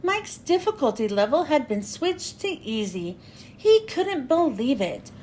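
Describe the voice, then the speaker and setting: Female kids' read-aloud host: expressive, very lively intonation, high voice, slightly noisy recording. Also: measured pace. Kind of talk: children's storybook read-aloud.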